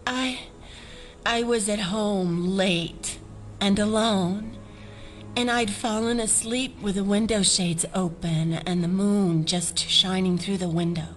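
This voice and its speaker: An actress playing a fragile, haunted abductee in an audio drama, telling her account in a halting, earnest, dreamy way. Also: mid-range voice, steady tone, soft background music, natural voice. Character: fragile, haunted woman recounting an alien abduction.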